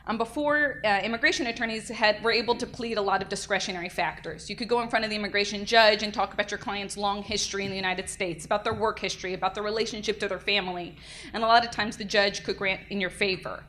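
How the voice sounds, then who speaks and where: A young adult woman, a defense attorney, giving an energetic conference lecture into a microphone. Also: mid-range voice, fast talker, slight background noise. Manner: energetic, lively, self-described loud. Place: conference room. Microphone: handheld or podium mic.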